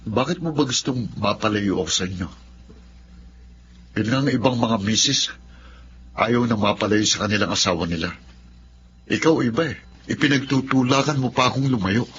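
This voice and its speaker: A man's voice: mid-range voice, normal speed, long pauses, fairly bright tone, slight background noise, natural voice. A man with a Filipino accent acting in a radio drama as an emotional, earnest husband and father haunted by past abuse. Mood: hurt, angry. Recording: muffled lo-fi audio with music behind.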